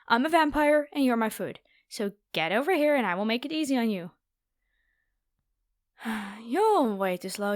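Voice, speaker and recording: A woman's voice: high voice, dry recording, clean studio sound. A woman playing a haughty, predatory noble vampire girl in an ASMR roleplay, with a taunting, playful, theatrical delivery.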